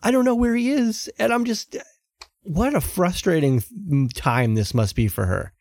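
Animated man recapping a show. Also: high-pitched, moderate pace, no echo, studio quality. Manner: animated, laughs often, storytelling. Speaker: podcast co-host. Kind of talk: casual TV recap chat.

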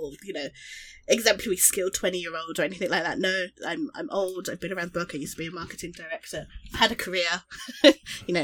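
A woman's voice: on the low side, pushed and bright. A woman in her thirties, a guest on an interview, who talks about herself in a chatty, self-deprecating way. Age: 30s. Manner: self-deprecating, rambling with restarts. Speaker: interview guest, artist and streamer. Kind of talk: casual interview chat.

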